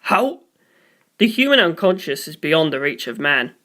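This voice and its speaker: Bright-voiced story narrator. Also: medium pitch, very animated, normal speed. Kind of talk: scripted narration of fan fiction.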